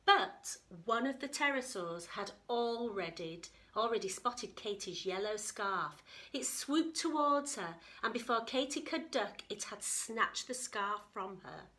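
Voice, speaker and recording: A woman reading a picture book to young children in an expressive storytelling style, pausing for chatty questions and asides. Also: a little high, low-quality recording. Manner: animated, addresses young listeners with questions. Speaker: teacher reading story time.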